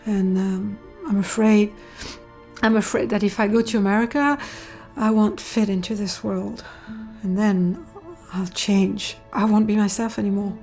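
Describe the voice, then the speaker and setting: A woman's voice: mid-range voice, fairly even intonation, a little slow, a little mellow, soft background music, close to an everyday voice. An actress playing a young woman in an audio drama, anxious and questioning, vulnerable but stubborn, in a naturalistic, hesitant manner.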